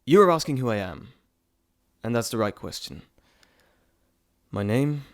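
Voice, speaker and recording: A playful trickster god , acted out by a man, speaks straight to the listener in an audio roleplay. He is chatty and theatrical, rambling and teasing, with a mid-pitched male voice recorded close and dry. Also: animated, a little slow, no echo, close to an everyday voice. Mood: proud, teasing.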